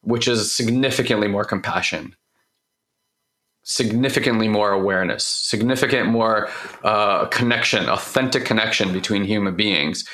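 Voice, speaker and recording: A man with a hoarse voice, recovering from losing it, hosting a personal growth talk and speaking openly and emotionally, rambling with restarts. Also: fairly low pitch, moderate pace, bright, forceful tone. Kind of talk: candid heartfelt monologue.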